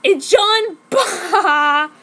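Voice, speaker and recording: A woman's voice: very high-pitched, drawn-out words, fairly bright tone, pitched above the performer's own voice, exaggerated voice. A woman voicing jealous, wretched old hag demons with overwrought hatred in a fanfiction reading. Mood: hissing. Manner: roaring, hissing, overwrought. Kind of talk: mock-dramatic fanfiction reading.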